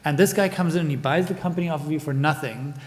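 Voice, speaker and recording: A man talks on a conference panel in a thoughtful, wandering way, often tagging his points with "right?". His voice sits a little high for a man, and a long hall reverb trails behind it. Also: fast talker. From Germany. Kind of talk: panel discussion.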